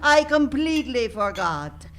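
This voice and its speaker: Woman acting formal hotel manageress, gracious, slightly odd, comic radio drama, unhurried delivery, animated pitch. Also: noisy background. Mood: breezy. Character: gracious but odd female hotel manager, brisk and callous. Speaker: actor in live radio drama.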